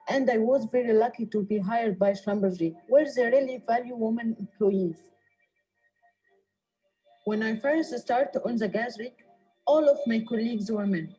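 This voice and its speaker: A young woman from Egypt narrates a scripted testimonial. Her voice is fairly high yet very mellow and soft-edged, over faint music. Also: slightly rough, poor audio. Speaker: technician giving testimonial.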